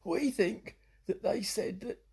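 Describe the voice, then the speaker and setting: A performer playing an odd, earnest people-watcher named in an amateur radio play, with a husky, creaky voice. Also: mid-range voice, slow, warm tone, heavily acted. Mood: earnest, matter-of-fact.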